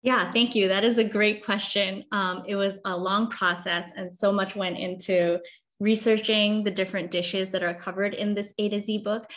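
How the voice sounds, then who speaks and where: A woman in her thirties from Hong Kong speaks at a medium pitch. She explains things conversationally, as an author on a video call panel. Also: fairly even intonation, normal speed, fairly bright tone. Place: video call from a room at home.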